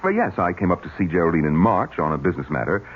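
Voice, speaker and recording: An actor playing a smooth, guarded nephew who manages a mill, in a theatrical old-time radio mystery style. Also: male voice, low-pitched, very animated, quick pace, full character voice. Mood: guarded, firm. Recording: dull, noisy old radio recording.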